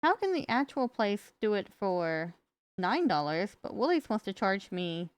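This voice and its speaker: A woman with a Southern US accent worn down by years in Australia tells stories fast, with exasperated asides. Her voice is smooth and clear. The recording is dry and close. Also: mid-range voice, big pitch swings, dry recording. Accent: Southern US mixed with Australian. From North Carolina, USA. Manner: chatty, quick storytelling with exasperated asides. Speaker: guest on a livestream. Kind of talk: casual chat.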